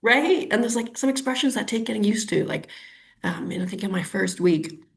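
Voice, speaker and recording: A woman's voice: mid-range voice, lively intonation, brisk, gravelly. A woman in her thirties, a guest in a webinar interview, chatty and thinking aloud with many ums and restarts. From Canada. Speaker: urban planner guest.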